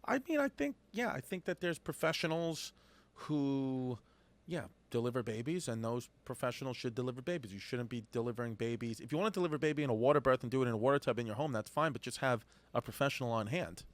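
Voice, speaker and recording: A man in his thirties co-hosting a comedy podcast, with a middle-pitched voice. He jokes crudely and loosely, often cutting in while others are talking. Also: animated, fast, no echo. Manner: joking, crude, talks over others. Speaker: podcast co-host. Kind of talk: casual comedic podcast chat.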